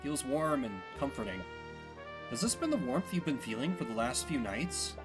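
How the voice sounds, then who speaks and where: Man narrating a game with lively pitch. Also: normal speed, over music. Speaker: streamer, solo voice performer.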